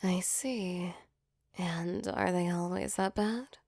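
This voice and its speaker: Casting: woman as affectionate sleep demon, creaky soft voice, close and dry, reassuring ASMR roleplay delivery. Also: on the low side, steady tone, slow pace, no room sound, full character voice. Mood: sympathetic, caring. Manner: soothing, tender, reassuring. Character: gentle female sleep paralysis demon, affectionate and protective. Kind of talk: ASMR comfort roleplay, soft intimate acting.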